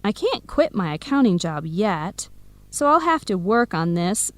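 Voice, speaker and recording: A woman's voice: mid-range voice, very animated, a little slow, no echo. An actress playing an earnest, playful young woman with coffee shop dreams in an educational radio drama. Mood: earnest, thoughtful.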